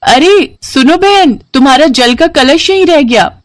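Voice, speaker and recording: A woman's voice: high-pitched, animated, measured pace, dry recording, voice pushed up. A woman voicing a friendly, bustling village housewife in a storybook tale, chatty and eagerly calling out. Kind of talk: storybook dialogue.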